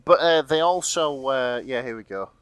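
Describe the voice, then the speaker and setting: A man's voice: medium pitch, lively intonation, moderate pace, a bit bright. A man co-hosting a podcast in casual, comic chat. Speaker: podcast co-host.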